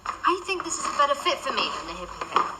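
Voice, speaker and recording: Chatty occult agent, very noisy recording. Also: female voice, high-pitched, very animated, fast. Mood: chatty, keen. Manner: chatty, earnest, explanatory. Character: chatty, bossy female occult secret agent. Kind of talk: modern radio drama acting. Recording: very noisy background.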